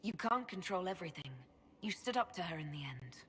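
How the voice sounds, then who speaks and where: An actress playing a curious female inquisitor in a video game, asking questions in a soft, inquiring manner. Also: lowish voice, lively intonation, fairly quick, in a large hall, slight background noise.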